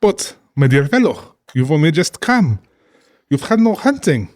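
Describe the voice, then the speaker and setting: An actor playing a cultured, genially menacing general and big-game hunter in a dramatized audiobook, genteel, theatrical and condescending. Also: male voice, a little high, big pitch swings, measured pace, darkish tone, dry recording. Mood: coaxing.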